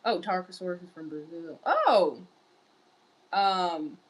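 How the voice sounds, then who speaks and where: A woman's voice: on the low side, animated, takes it slowly, breathy edge, low-quality recording. A woman streaming live in casual chat, reading viewer messages aloud and answering them. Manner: wearing large headphones. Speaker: livestreamer.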